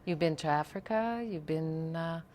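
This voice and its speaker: A woman's voice: low-pitched, normal speed, a little mellow, a little hiss or hum. A woman interviewing a guest for local TV, asking short questions and answering with brief encouragement. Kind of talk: casual interview chat.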